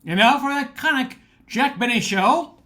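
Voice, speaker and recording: A woman's voice: high-pitched, lively intonation, normal speed, pushed and bright. An older woman presenting a nostalgic radio show with scripted narration.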